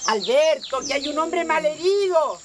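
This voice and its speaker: A woman's voice: high voice, lively intonation, unhurried, noisy background. An actress playing a kindly, superstitious old grandmother in a radio drama, fussing and exclaiming in a folksy way. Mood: alarmed. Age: older.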